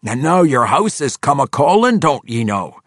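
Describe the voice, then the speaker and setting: A grizzled old dwarf veteran. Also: male voice, medium pitch, sing-song, moderate pace, slightly rough, no echo, studio quality, pitched above the performer's own voice. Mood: accusing.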